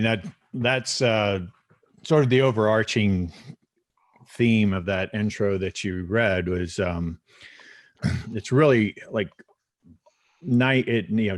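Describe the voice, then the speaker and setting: Male doctor guest, Texan accent, low and dry, rambling conversational answers. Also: no room sound. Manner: rambling, many fillers and restarts, wry. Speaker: physician guest.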